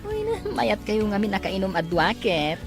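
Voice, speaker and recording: A woman's voice: medium pitch, wildly swooping pitch, normal speed, music in the background. A woman playing a playful, persuasive drinking buddy in an Ilocano audio drama. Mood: playful, coaxing.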